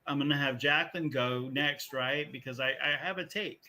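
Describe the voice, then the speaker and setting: A man's voice: medium pitch, normal speed, pushed and bright. A man hosting a casual panel podcast, speaking emphatically and repeating words as he builds a point. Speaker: podcast host.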